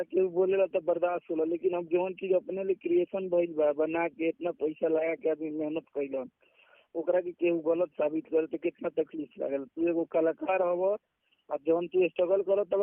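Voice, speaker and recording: Bhojpuri guy on a phone call, high creaky voice, pleading, trying to talk someone round. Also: animated, moderate pace, no room sound. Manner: pleading, persuasive, repeatedly seeking agreement. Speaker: music artist with own studio. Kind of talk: informal phone conversation. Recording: muffled recorded phone call.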